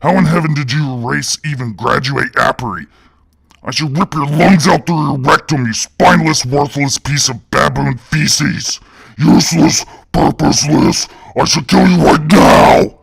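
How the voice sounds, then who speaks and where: A monstrous demon boss in an audiobook, shouting with bullying contempt. His voice is a thick gravelly rasp, pushed high above the reader's own, with heavy, drawn-out words in a very dry recording. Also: no echo, heavily acted. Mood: enraged. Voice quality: gravelly, raspy growl. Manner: shouting, berating with drawn-out insults.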